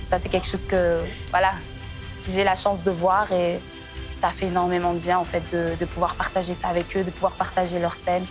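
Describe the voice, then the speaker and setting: A woman's voice: fairly low pitch, monotone, a little fast. A nineteen-year-old woman from Congo, a singer giving an earnest, modest interview. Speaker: singer contestant. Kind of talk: personal interview to camera. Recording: music under the voice.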